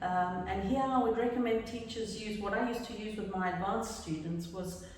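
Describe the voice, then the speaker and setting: A woman who trains teachers for speaking exams, answering questions in a relaxed, chatty way. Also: medium pitch, moderate pace, slight background noise. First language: English. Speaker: exam trainer. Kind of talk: casual Q&A discussion. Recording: echoey room from far off.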